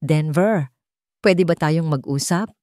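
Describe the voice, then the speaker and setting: A woman's voice: low-pitched, slow, mellow, no room sound, clean studio sound. A woman with a Filipino accent voicing an earnest, caring older sister with a direct, questioning manner in an audio story. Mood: serious, insistent.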